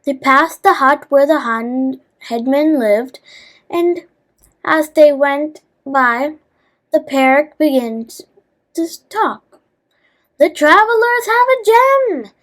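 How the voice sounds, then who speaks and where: Female narrator for kids' moral tales. High-pitched, slow, slightly stumbling read. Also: fairly bright tone. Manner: halting reading with stumbles over words. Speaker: storyteller. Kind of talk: scripted children's story narration.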